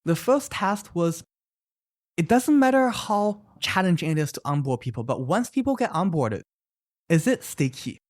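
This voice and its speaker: Animated founder. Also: medium pitch, moderate pace, no room sound, studio quality. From China. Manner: animated, emphatic, often adds "right?"; explains with many examples. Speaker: startup founder. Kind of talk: interview answers.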